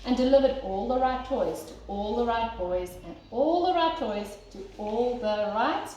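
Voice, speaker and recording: A woman's voice: a little high, lively intonation, unhurried, dark tone. A woman teacher reading a children's storybook aloud expressively, as if to young listeners. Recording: very echoey room, faint noise.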